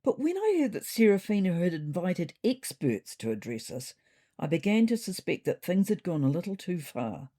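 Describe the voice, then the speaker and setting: A woman plays a fretful, thoughtful ginger cat reading a comedy diary. The voice is low and husky, with very mobile intonation and a dry, exasperated wit. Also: sing-song, normal speed, dark tone. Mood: wry, suspicious. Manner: dry, wry, mildly exasperated. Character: thoughtful, slightly neurotic, wry ginger cat diarist. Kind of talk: scripted comic diary narration.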